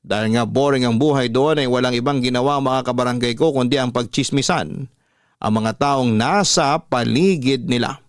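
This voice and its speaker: A man's voice: mid-range voice, moderate pace, dry recording, clean studio sound. A man hosting a radio story show, reading a listener's letter as dramatic scripted narration. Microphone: close studio microphone, dry. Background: soft music.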